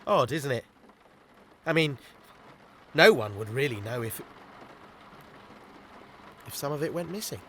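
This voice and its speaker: A man's voice: mid-range voice, animated, unhurried, long pauses, sharp tone, slight background noise. A man with a British accent playing a pragmatic, cynical council worker in an audio drama, talking in a casual, blunt, colloquial way. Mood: tentative, conspiratorial. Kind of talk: naturalistic audio drama acting.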